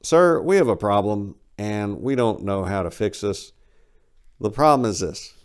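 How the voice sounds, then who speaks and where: A man's voice: lowish voice, a little mellow, clean tone, voice pushed up. A man voicing an exasperated, blunt hero of a tall tale, complaining in an emphatic, plain-spoken way.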